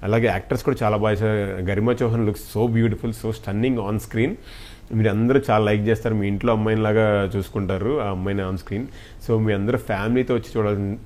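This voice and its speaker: A man's voice: fairly low pitch, darkish tone. A man with a Telugu accent, an actor giving an earnest, grateful interview and slipping between languages. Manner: earnest, effusively thankful. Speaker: film actor being interviewed. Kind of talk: interview chat mixing Telugu and English.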